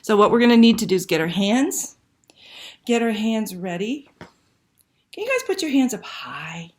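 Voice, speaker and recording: A woman's voice: a little high, very animated, a little slow. A woman hosting a library story time, reading aloud and talking to young children with simple questions and prompts.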